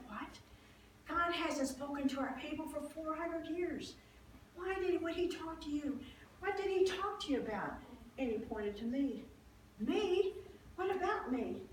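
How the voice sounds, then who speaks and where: A woman playing a devout older priest's wife in a dramatized Bible monologue, telling her story conversationally and expressively, with halting restarts and acted-out exclamations. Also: high-pitched. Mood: amazed, questioning. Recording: roomy echo, distant mic, faint noise.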